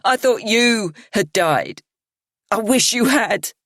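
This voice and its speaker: A woman reading an audiobook, voicing a bitter, grieving young woman with a slow, accusing drawl. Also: fairly low pitch, expressive, slightly rough, dry recording, studio quality, heavily acted.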